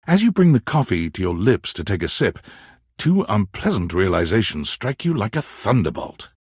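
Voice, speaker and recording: A man narrating a romantic comedy video game with measured, dramatic storytelling and deliberate pauses. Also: lowish voice, darkish tone, breathy edge, no room sound, studio quality. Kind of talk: scripted second-person narration of a dating game.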